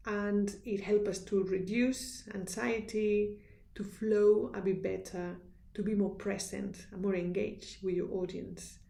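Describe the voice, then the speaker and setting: A woman's voice: medium pitch, vocal fry, mellow, roomy sound. A woman with a strong Spanish accent, a native Spanish speaker, giving an earnest, instructive university lecture with small restarts and fillers. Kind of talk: recorded lecture.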